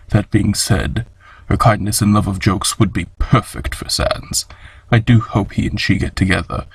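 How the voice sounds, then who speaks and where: A man playing a gentle, solemn monster scientist and father in a fan comic dub, speaking formally and with measured care in a gravelly voice. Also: no room sound, exaggerated voice. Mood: fond, amused. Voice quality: low, gravelly, husky.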